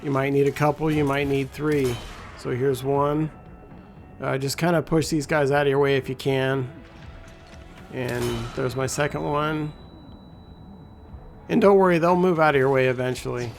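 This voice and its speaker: Guy with a raspy gravelly voice, chill rambling game walkthrough, lots of ums. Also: mid-range voice, steady tone, moderate pace, pauses often, faint music behind. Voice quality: scratchy, gravelly voice. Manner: laid-back, rambling, lots of fillers. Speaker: gameplay guide host. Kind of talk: casual walkthrough commentary.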